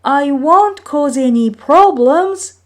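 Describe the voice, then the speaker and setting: A woman's voice: sits high, lively intonation, slow pace, voice pushed up. A woman voicing an eager, boastful young boy trickster in a storybook tale, pleading and insistent.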